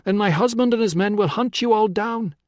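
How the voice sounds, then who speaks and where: A man's voice: very high-pitched, animated, moderate pace, dry recording, voice pushed up. A man voicing a defiant young woman who pleads and challenges urgently in an audiobook story. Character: defiant, pleading young Celtic woman confronting her father.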